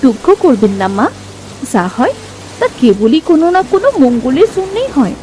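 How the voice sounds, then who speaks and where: A woman voicing a hospitable, caring village housewife with an animated manner in a cartoon folk tale. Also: sits high, moderate pace, faint music behind. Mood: consoling, kind.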